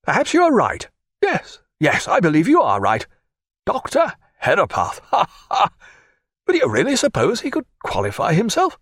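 A man putting on a fussy, high-strung old-school merchant. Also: normal speed, slightly rough, dry recording, studio quality, full character voice. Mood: relieved. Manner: fussy, excitable, pleading. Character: fretful middle-aged Boston merchant man. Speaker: former loyalist and merchant.

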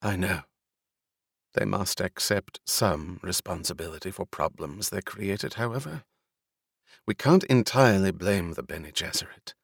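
A man's voice: deep voice, expressive, vocal fry, no room sound, clean studio sound, heavily acted. Man voicing a stern, commanding noblewoman ruler. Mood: grave. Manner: authoritative, formal, deliberate.

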